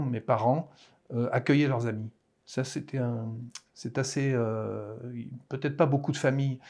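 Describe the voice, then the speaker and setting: A man in his sixties with a Parisian French accent, an author talking about his writing in a hesitant, halting way in a casual interview. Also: medium pitch, mellow. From France. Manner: hesitant, halting, many restarts.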